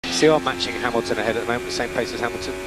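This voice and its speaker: A man working as a race engineer gives calm, methodical, terse instructions over team radio, repeating key calls. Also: medium pitch, racing, over loud music. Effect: team radio transmission. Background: vehicle hum and noise.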